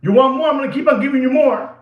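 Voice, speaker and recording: A man's voice: very high voice, racing, highs cut off. A man, ranting casually to viewers in a rambling way and slipping into Spanish phrases. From New York, USA. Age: 60s. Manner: animated and rambling, mixes in Spanish phrases, addresses viewers as "papi". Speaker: watch dealer, show host. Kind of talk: casual rant to camera. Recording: echoey room.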